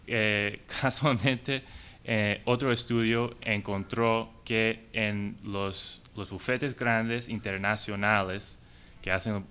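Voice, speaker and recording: A man, an English speaker, giving a conference talk in halting, hesitant Spanish. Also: fairly low pitch, sharp tone, clean tone. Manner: halting, hesitant delivery in non-native Spanish. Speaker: conference panelist.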